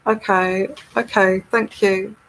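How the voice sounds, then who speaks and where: A woman with a soothing, calming voice, speaking very slowly and at an easy, rambling pace. She hosts a live tarot stream and chats casually with her viewers. Her pitch sits in a typical middle range for a woman. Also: poor audio. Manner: unhurried, chatty and rambling.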